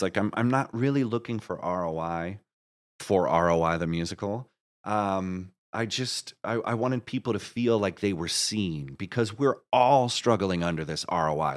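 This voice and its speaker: A man answering questions as an interview guest in a casual, rambling way, often halting and interrupting himself. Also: lowish voice. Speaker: interview guest, marketer and musical creator.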